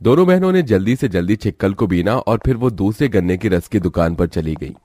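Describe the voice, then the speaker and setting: Low-pitched man narrating a moral story. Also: expressive, brisk, warm tone, no room sound, faint music behind.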